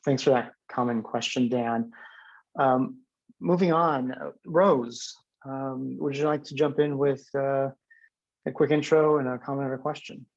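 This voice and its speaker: A man moderates a panel over a video call, halting and full of fillers as he passes the floor to others. His voice is gravelly and middle-pitched. The call audio is dull, lo-fi and dry. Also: fairly even intonation, no echo. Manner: halting, many fillers and repeats. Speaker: host.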